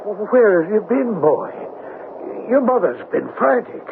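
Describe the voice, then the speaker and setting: An actor playing an anxious, folksy old farmer who rambles and stammers in a radio drama. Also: male voice, sits high, very animated, slow, gravelly, phone-quality sound, heavily acted. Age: older. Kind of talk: 1970s radio drama acting. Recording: thin, band-limited old radio broadcast, faint noise.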